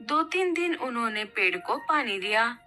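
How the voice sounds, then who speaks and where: A woman's voice: fairly high pitch, lively intonation, moderate pace, bright, forceful tone, faint music behind. A woman reading scripted narration as the storyteller of a children's tale.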